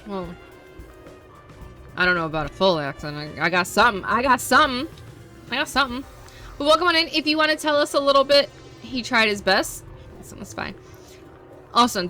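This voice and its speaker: A woman's voice: mid-range voice, pushed and bright. A woman variety streamer chatting casually with her live audience, playful and self-deprecating. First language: English.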